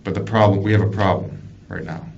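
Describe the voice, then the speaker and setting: An American man working as a police detective, questioning someone in a measured way with repeated, restarted phrases. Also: sits low, fairly even intonation, gravelly, a little mellow. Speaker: police detective conducting an interrogation. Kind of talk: investigative interview. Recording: muffled, noisy police interview room recording.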